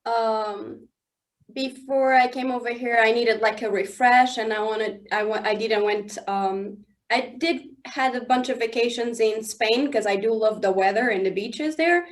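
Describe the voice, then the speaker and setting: Halting woman, fairly high voice. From southern Germany. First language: Swiss German. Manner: halting, searching for English words, laughs often. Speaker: interview guest. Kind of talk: casual interview chat. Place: indoor studio under lights.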